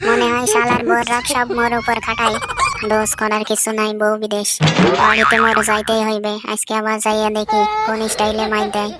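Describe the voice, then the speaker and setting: Lady doing a cheeky cartoon schoolboy, bangladeshi village slang, talks fast, highish voice, whiny over-the-top complaining. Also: completely flat delivery, heavy background noise, natural voice. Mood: comic dread. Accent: Bangladeshi regional Bengali. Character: cheeky schoolboy who failed exams, dreading his father. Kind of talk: comic cartoon dubbing. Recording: loud music behind the voice. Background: loud music.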